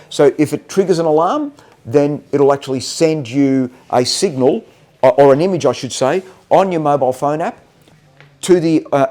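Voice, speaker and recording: A man presenting technical product training in a halting way, often stopping to check that listeners follow. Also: fairly high pitch, dark tone.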